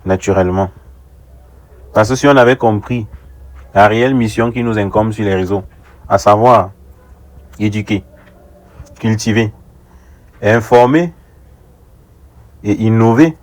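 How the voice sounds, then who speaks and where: A young man from Africa giving an earnest, deliberate opinion monologue, repeating phrases for rhetorical emphasis as an online commentator. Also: on the low side. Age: young adult.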